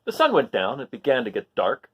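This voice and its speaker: A man reads a children's picture book aloud with a lively, sing-song voice that rises and falls freely. He often stops to count along with his young listeners and ask them what comes next, in a warm teacherly way. His voice sits at an ordinary middle pitch. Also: brisk, husky, dull audio. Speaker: storyteller reading a picture book.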